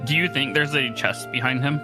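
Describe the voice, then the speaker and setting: A man with a mid-pitched voice chats casually as he joins a game stream. Game music plays clearly behind him. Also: a little fast. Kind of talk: casual chat during gameplay.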